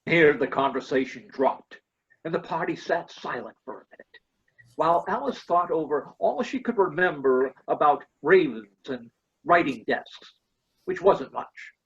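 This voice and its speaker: A man's voice: a little high, measured pace, fairly bright tone. Dull-sounding male storybook narrator.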